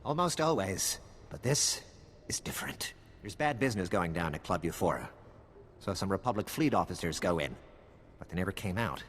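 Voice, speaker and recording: An actor playing a streetwise, wary and wry private investigator in a video game cutscene. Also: male voice, deep voice, very animated, moderate pace, a bit bright, long reverb tail, a little hiss or hum. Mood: wary, urgent.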